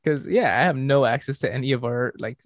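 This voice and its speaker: A young man co-hosting a podcast, teasing his friend with quick comebacks in casual chat. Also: mid-range voice, no room sound, clean studio sound. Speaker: podcast co-host.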